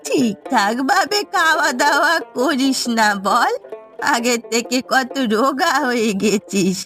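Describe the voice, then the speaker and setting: A woman's voice: high voice, expressive, slow pace, a bit bright, soft background music, heavily acted. A woman voicing a fond, trusting old village grandmother in a cartoon, fussing in a homely way. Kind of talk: Bengali cartoon voice acting.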